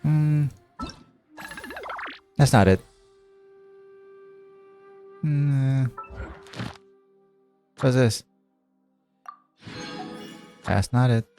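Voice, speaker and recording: A male gaming streamer with a mid-pitched, animated voice gives casual commentary. He thinks aloud and reads text from the game, recorded dry with game music behind him.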